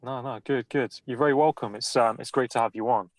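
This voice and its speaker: A man's voice: medium pitch, fairly even intonation, a little fast, no room sound. A man hosting a podcast interview, easing in with many fillers. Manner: hesitant, lots of fillers. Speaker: podcast host and life coach. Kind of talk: casual interview chat.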